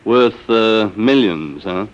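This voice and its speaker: A man's voice: lowish voice, animated, takes it slowly. An actor playing a harried, skeptical husband and small businessman who stammers and blusters, in 1950s radio drama. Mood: skeptical, bemused. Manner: hesitant stammer, blustering, folksy. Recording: muffled old radio recording.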